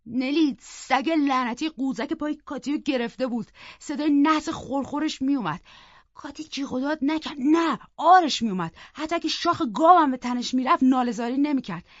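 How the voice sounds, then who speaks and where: A woman's voice: high-pitched, no room sound, highs cut off, pitched above the performer's own voice, close to an everyday voice. A woman voicing a hot-tempered, defiant orphan boy telling a story in an audiobook, vehement and emphatic. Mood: agitated, fierce. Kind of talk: dramatic audiobook character narration.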